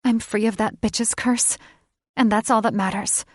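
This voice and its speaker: A woman voicing a young witch who speaks calmly and plainly. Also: on the high side, measured pace, no room sound, studio quality, voice pushed up. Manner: calm, bright and matter-of-fact. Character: young witch apothecary, calm and freed.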